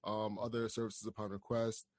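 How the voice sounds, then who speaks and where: A man from Canada hosting a podcast, asking questions in a relaxed, soft-spoken way with fillers. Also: on the low side, moderate pace, no echo, studio quality. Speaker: podcast host. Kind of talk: casual podcast interview.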